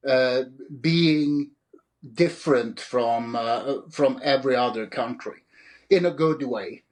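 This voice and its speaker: A man's voice: mid-range voice, slow. A middle-aged man from Sweden with a Swedish accent, answering interview questions as an author with frequent fillers and restarts. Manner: hesitant, many fillers and restarts. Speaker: author and guest.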